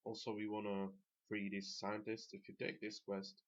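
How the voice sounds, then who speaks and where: A man's voice: on the low side, completely flat delivery, moderate pace, muffled recording. A man whose first language is not English, casually commentating gameplay as a guide, unscripted and correcting himself as he goes. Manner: unscripted, self-correcting, with fillers. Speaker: game guide narrator.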